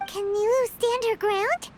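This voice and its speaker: An actress voicing a tiny, childlike, excitable fairy companion giving commentary in a fantasy game. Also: female voice, extremely high pitch, a little slow, slight background noise. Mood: excited.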